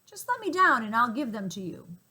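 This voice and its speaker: A polite, quick-witted young man talking his way out of trouble, as a woman voices him in a storybook reading. He sounds eager and apologetic. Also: on the high side, sing-song, a bit bright.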